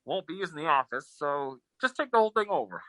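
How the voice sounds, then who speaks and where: A man's voice: a little high, very animated, fast talker, pushed and bright, dry recording, low-quality recording. A man playing a bumbling, self-important government agent, breezy and pompous, in old-time radio comedy style.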